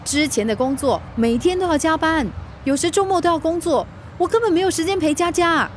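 High-voiced, harried Singaporean mum. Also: female voice, brisk, a little hiss or hum. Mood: exasperated, earnest. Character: harried, plucky working mother turned food delivery rider. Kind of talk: radio drama acting.

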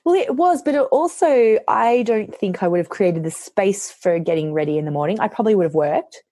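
A woman author, hoarse from losing her voice, chatting casually on a podcast and telling lively stories, often quoting herself. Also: mid-range voice, fairly quick, dry recording. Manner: animated storytelling, quotes herself.

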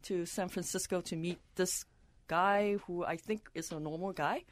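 A woman's voice: on the low side, fairly even intonation, moderate pace, no echo. A woman, a restaurant owner, speaking cheerfully and chattily in a radio interview. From Malaysia.

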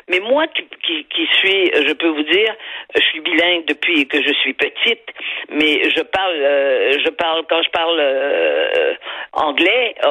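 An older Quebec woman speaks in a sharp, husky voice, close and dry, as if in a talk radio exchange. She delivers opinions with emphasis, then halts, doubles back and digresses, tossing rhetorical asides to her listener. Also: on the low side, no room sound, rough recording. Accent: Quebec French. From Quebec, Canada. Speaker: columnist and commentator on a talk show. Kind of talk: opinionated radio commentary in conversation.

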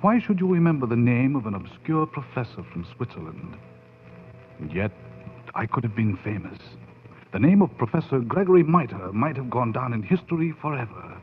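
An actor playing a proud, bitter European mad scientist professor in a theatrical 1940s radio horror monologue. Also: male voice, medium pitch, sing-song, moderate pace, narrow, tinny audio, slight background noise, natural voice. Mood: brooding, then boastful, fervent. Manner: theatrical, grandiose, swinging in intensity. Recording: thin band-limited 1940s radio recording.

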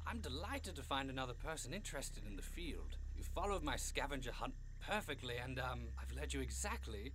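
A man's voice: medium pitch, very animated, brisk, hardly any pauses, background noise, close to an everyday voice. A man playing a genteel, fussy old goat-man monster in an audio drama, with prim, courtly, long-winded speech. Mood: pleased, conspiratorial.

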